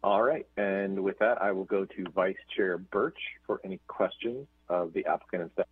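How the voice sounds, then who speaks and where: Male board chair, very low voice, dry close sound, halting. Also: husky, no echo. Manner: rambling, repeats words. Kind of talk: chairing a public meeting. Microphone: video call. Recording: tinny phone-like call audio.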